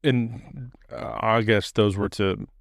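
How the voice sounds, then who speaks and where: A man's voice: on the low side, fairly even intonation, slow pace, gravelly, dry recording, clean studio sound. A man hosting a podcast, asking curious follow-up questions in casual conversation. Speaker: podcast host. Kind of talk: casual interview.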